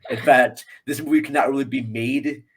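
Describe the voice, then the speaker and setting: A man's voice: mid-range voice, steady tone, moderate pace, a little hiss or hum. An American man talking casually as a guest on a film podcast, rambling a little and repeating phrases for emphasis.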